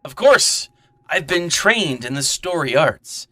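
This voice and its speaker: A man's voice: a little high, very animated, slow pace, pushed and bright, full character voice. A man acting a pompous, fussy storyteller newcomer in an amateur comedy radio drama, wordy and grandiose.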